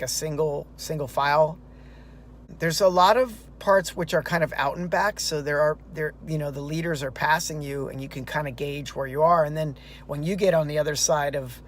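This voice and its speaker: A man's voice: on the high side, a bit bright. Chatty man vlogging his race. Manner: chatty, rambling, with fillers and restarts. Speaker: runner vlogging a marathon. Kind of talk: casual vlog recap. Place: outdoors and in a car.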